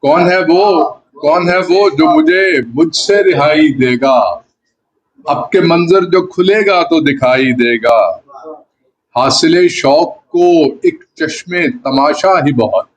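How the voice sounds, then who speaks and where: A man, a poet, reciting ghazal verse in a deliberate manner, repeating lines for emphasis. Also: a little high, dull audio. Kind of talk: Urdu ghazal poetry recitation. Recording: clear background noise.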